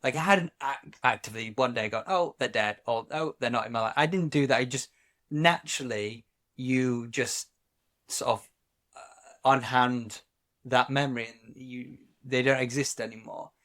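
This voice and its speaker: A man from Wales co-hosting a podcast, talking reflectively in a casual chat, often pausing and restarting his sentences. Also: medium pitch, fairly bright tone. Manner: reflective, halting, many restarts. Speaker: podcast co-host.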